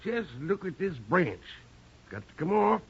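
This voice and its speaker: An old rustic gardener with a rural American drawl. The voice is very high and husky, grumbling and unhurried by anyone, on a muffled vintage radio drama recording. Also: male voice, very animated, full character voice. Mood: grumbling, distracted. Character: grumbling old rustic male gardener, stubbornly absent-minded. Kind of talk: 1940s radio acting.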